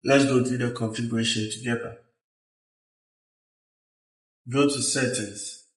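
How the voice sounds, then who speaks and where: A man presenting a hands-on technical tutorial, explaining step by step in a halting way. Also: medium pitch, fairly even intonation. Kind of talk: hands-on instructional walkthrough. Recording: roomy echo, uneven distance from mic.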